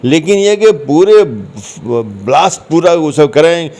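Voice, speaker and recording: A man's voice: fairly high pitch, sing-song, takes it slowly, mellow, slight background noise. A man answering interview questions conversationally, explaining his points and repeating phrases for emphasis. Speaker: interview guest, commentator.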